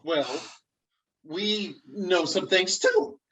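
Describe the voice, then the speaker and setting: A man playing the cautious, exasperated friend in a comedy script. The voice is husky and unhurried, rising and falling a lot, with deadpan sarcasm. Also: high voice, muffled recording, heavily acted. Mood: alarmed. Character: exasperated, anxious male friend, the cautious sensible one. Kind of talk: comedy script table reading. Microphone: video call.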